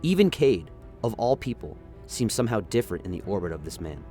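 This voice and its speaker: A man's voice: lowish voice, very animated, fairly quick, warm tone, faint music behind. A man narrating a science-fiction radio play in a dramatic, scripted storytelling style. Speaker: narrator, lore reader.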